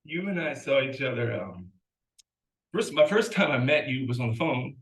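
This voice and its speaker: Male interview host, mid pitch, curious conversational questions, roomy audio. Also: animated, normal speed. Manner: curious, conversational, with repeated words. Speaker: podcast host.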